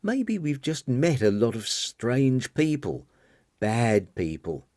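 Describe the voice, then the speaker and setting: A man's voice: medium pitch, wildly swooping pitch, takes it slowly, a little mellow, no echo, clean studio sound. A man voicing a sly, taunting male monster with a teasing, mock-poetic charm in an audiobook. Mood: teasing, musing.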